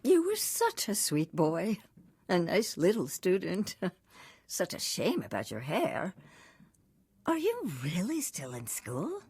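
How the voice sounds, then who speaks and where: A woman's voice: lowish voice, huge pitch swings, takes it slowly, darkish tone, slightly rough, dry recording, studio quality, heavily acted. An actress playing an eccentric, sentimental middle-aged aristocratic woman in a visual novel, with a dreamy, theatrical manner. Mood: rueful, fond.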